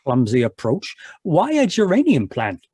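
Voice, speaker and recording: A man's voice: a little high, huge pitch swings, unhurried, dark tone, breathy edge, dry recording, exaggerated voice. A man playing a genial, boozy ex-doctor sidekick in a 1940s-style radio drama, talking in a florid, rambling, mock-dignified way. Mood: wry, curious. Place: live remote video-call performance.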